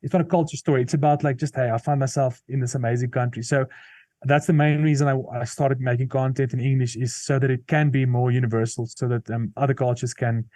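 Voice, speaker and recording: A man's voice: mid-range voice, fairly even intonation, quick pace, dark tone, dry recording. A South African man with an Afrikaans accent, earnestly explaining his views in an interview, with frequent restarts. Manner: earnest, thoughtful, many restarts and fillers. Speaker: comedian and musician guest. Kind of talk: interview conversation.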